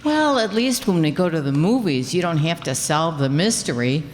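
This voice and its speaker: A woman's voice: sits low, expressive, normal speed. A woman playing a detective's plucky, teasing sweetheart in an old-time radio drama. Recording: echoing hall with background noise.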